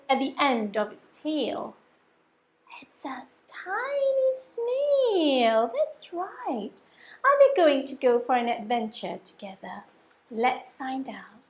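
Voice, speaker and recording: A woman with a high, lively voice reads a story to young children. She takes it very slowly, in an expressive sing-song, and pauses to coax them with simple questions, as a teacher does.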